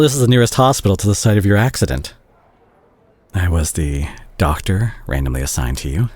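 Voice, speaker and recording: A man playing a remorseful, tender doctor ex-boyfriend in an intimate audio roleplay, speaking softly and hesitantly to the listener. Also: deep voice, husky, full character voice. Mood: awkward, evasive. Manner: hesitant, gentle, self-conscious, sighing. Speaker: audio drama voice actor.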